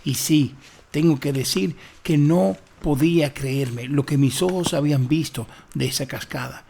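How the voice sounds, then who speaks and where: A man's voice: on the high side, measured pace, creaky, mellow, slight background noise. A Dominican man with a Dominican Spanish accent hosts a travel vlog, narrating in dramatic, sentimental phrasing. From Dominican Republic.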